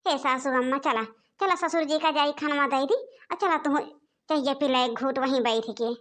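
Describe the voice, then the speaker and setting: Woman playing a high-voiced, soothing Awadhi daughter-in-law. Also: normal speed, fairly bright tone. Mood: placating. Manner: placating, respectful. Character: patient, soothing young village daughter-in-law in cartoon. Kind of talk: animated comedy dialogue.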